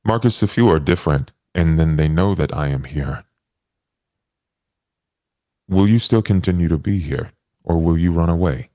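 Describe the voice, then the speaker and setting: Guy doing a low voiced vampire made of stone, blunt and earnest, very literal, dry close sound. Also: moderate pace, long pauses, warm tone, dry recording, lightly acted. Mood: earnest, solemn. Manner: stiff, plain, literal phrasing.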